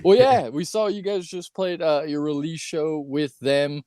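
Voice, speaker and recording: A man hosting a casual podcast interview, relaxed and loose, swearing freely and filling his sentences with "uh" and "like.". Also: fairly high pitch, unhurried. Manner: laid-back, swears freely, lots of filler words. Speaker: podcast host.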